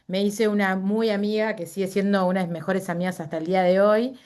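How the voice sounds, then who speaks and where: A woman's voice: mid-range voice, fairly even intonation, fast, a little mellow, clean tone. A middle-aged woman with a Uruguayan Spanish accent, speaking as a guest in a casual interview and telling personal stories with frequent fillers and self-corrections.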